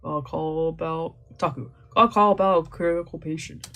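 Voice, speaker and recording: A woman streamer reading a visual novel aloud in a casual way, swearing freely in the rough lines. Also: low-pitched, steady tone, moderate pace, a bit bright. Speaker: VTuber playing a visual novel.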